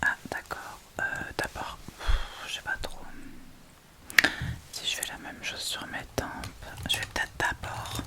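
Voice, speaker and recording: Slow, whispering woman, ASMR makeup tutorial.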